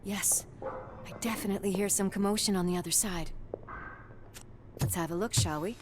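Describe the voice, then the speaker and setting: Woman playing a wry, loyal policewoman, mid-pitched. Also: fairly even intonation, moderate pace, background noise, lightly acted. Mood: earnest, encouraging. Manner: wry, earnest, informal. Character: loyal tough policewoman partner, wry and caring. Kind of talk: naturalistic video game acting.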